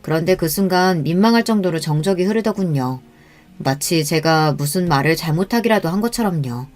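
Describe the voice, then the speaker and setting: A woman reading a first-person story aloud in a calm, measured, confessional way. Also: on the low side, faint music behind. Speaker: story narrator.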